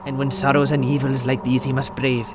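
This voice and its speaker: A man's voice: mid-range voice, flat delivery, brisk, very noisy, close to an everyday voice. An actor playing a very old, poor fisherman, lamenting in declamatory archaic verse for an audio drama. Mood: mournful.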